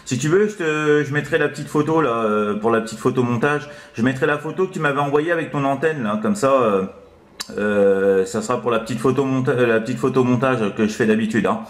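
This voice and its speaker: A man's voice: mid-range voice, brisk. A man having a casual CB radio chat as an operator, rambling and hesitant, repeating little filler tags. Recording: echoey room.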